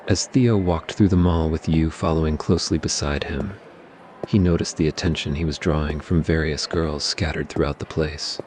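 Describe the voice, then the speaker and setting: A man narrating a scripted ASMR roleplay story in a measured, even way. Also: extremely low pitch, a little hiss or hum.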